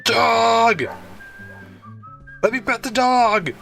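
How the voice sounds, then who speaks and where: A man's voice: very high-pitched, very slow, vocal fry, fairly bright tone. A man giving casual, rambling, sweary gameplay commentary as a gaming host on a headset. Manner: laid-back, rambling, sweary. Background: faint game music.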